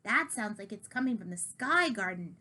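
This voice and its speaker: A woman's voice: mid-range voice, animated, normal speed, bright, forceful tone. A woman voicing a brave young girl in a storybook, firm and urgent.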